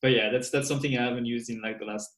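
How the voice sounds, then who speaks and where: A young man, a native French speaker with a French accent, answering a podcast host's questions in a thoughtful, measured way. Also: medium pitch, steady tone, a bit bright, echoey room. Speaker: podcast guest. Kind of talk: casual podcast interview.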